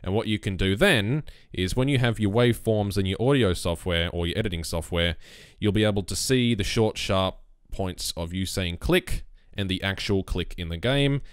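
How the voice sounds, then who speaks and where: A young man with a bassy voice explaining his recording setup in a relaxed, rambling way, close on a USB condenser mic on a boom arm. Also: moderate pace, few pauses, clean tone, no echo. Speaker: YouTube gaming creator. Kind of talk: casual screen-recorded tutorial.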